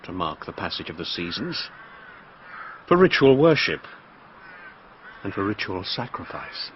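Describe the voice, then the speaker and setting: An actor playing a fanatical British killer obsessed with ritual sacrifice, in a solemn, theatrical radio drama style. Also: male voice, low voice, sing-song, measured pace. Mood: solemn, hypnotic. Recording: muffled radio recording with hiss.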